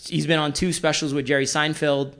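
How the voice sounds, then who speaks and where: Man, nasal voice, a bit higher pitched, easygoing, laughs a lot, casual chat. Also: flat delivery, a little fast, fairly bright tone, smooth. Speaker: podcast co-host. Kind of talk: casual chat presenting news items. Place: studio with several mics.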